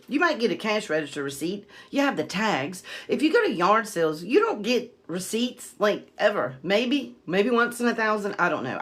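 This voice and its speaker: Casting: American-born woman, lowish voice, online seller vlogger, plain-spoken and aggrieved, casual storytelling with firm emphasis. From United States. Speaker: online reseller and video creator. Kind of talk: casual vlog storytelling and advice.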